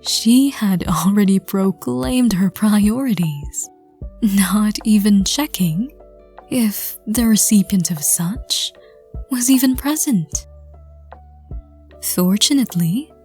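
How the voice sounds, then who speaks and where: Woman, story narrator, slow measured pace, soft, mellow, breathy, gentle. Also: mid-range voice, expressive, soft background music. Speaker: narrator and audio roleplay reader. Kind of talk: scripted second-person story narration.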